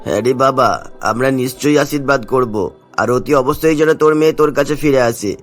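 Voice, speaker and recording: A man's voice: medium pitch, moderate pace, faint music behind, close to an everyday voice. A man voicing a concerned, familiar village elder in a cartoon story. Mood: kindly.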